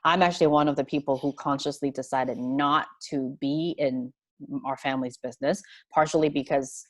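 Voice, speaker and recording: Panelist: woman from hong kong, low voice, dry close sound, earnest and thoughtful. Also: fairly even intonation, brisk, dry recording. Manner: earnest, thoughtful, many fillers and restarts. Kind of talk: panel discussion answer.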